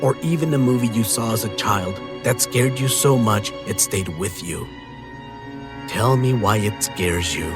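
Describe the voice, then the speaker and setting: A man delivering a scripted horror podcast promo as its host, deliberate, inviting and dramatic. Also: lowish voice. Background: music.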